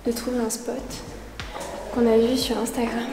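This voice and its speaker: A woman's voice: a little high, little pitch movement, moderate pace, very noisy. A young woman chatting casually in a travel vlog while walking through city streets, with lots of hesitations. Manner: chatty, many fillers and repeats. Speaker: travel vlogger. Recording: music playing loudly behind.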